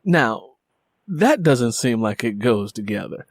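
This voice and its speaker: A middle-aged man hosting an author interview, talking conversationally as a fellow novelist, rambling with frequent filler phrases and animated when storytelling. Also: mid-range voice, takes it slowly, no echo. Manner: animated storyteller who acts out remembered exchanges; rambling, repeats "you know".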